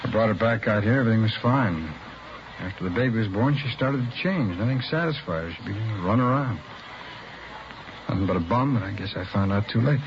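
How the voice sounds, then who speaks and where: A man's voice: fairly low pitch, lively intonation, brisk. An American actor playing a distraught, evasive working man and father in a vintage radio crime drama, hesitant and plain-spoken. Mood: bitter, dejected. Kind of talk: mid-century radio crime drama acting. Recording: muffled, noisy vintage radio recording.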